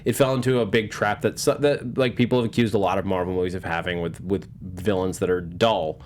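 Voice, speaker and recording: Guy hosting a film chat panel, casual, kinda halting and rambly, lots of opinions. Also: low voice, steady tone, a little hiss or hum. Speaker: panel show host. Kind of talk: casual panel discussion about films.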